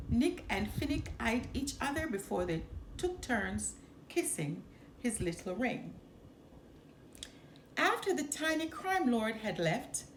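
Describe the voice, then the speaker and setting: Animated woman reading a storybook aloud. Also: on the high side. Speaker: storybook reader. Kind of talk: expressive read-aloud of a children's storybook. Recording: roomy echo, some background noise.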